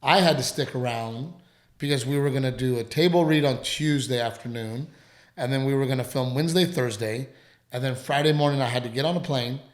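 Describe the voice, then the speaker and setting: Male co-host, casual home podcast, a bit hoarse with a cough, meandering delivery, roomy sound. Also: medium pitch. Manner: rambling, halting, full of restarts. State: lingering dry cough. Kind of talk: casual chat.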